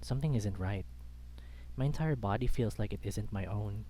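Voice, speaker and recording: A man's voice: fairly low pitch, moderate pace, mellow, husky, low-quality recording. A man reading a first-person story aloud as a dramatic narrator. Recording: background music under the voice. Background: music.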